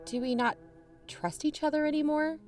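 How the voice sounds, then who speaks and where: A woman in scripted audio drama dialogue, conciliatory and pleading, her pitch rising when anxious. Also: steady tone, moderate pace. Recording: music bed underneath.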